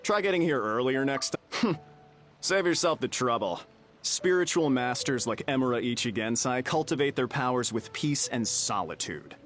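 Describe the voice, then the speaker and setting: A man's voice: mid-range voice, expressive, moderate pace, fairly bright tone, lightly acted. A man playing a dismissive, smirking clinic gatekeeper in a video game, with a casual, mocking manner. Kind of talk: video game character acting. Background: game music.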